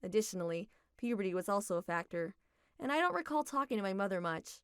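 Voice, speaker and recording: A young adult woman narrating a manga dub story in a steady, reflective reading. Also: mid-range voice, fast, smooth, no room sound, clean studio sound.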